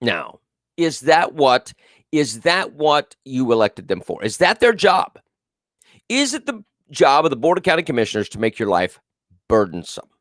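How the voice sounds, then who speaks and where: Man, talk show host, big carrying voice, emphatic, lively swings in pitch, dry close sound. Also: moderate pace, dry recording. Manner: emphatic, repetitive, reads chat comments aloud. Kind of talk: live political call-in commentary.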